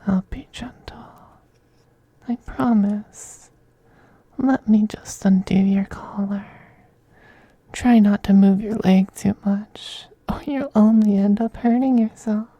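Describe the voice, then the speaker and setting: A performer voicing a seductive, possessive male femboy vampire in an intimate ASMR roleplay, whispering softly and coaxing in a teasing, gently domineering way. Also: mid-range voice, steady tone, slow, dry recording. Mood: tender, teasing. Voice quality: breathy, soft whispering.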